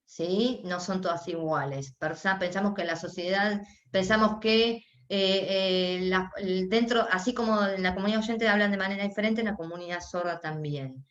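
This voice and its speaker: A woman with an Argentine accent voicing a deaf panelist's signing into speech at a panel discussion. She talks haltingly, with many restarts and pauses. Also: mid-range voice, echoey room, lo-fi sound. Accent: Argentine Spanish. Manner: halting, with frequent restarts and pauses while interpreting. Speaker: sign language interpreter voicing a deaf panelist. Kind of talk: live voice interpretation at a panel discussion.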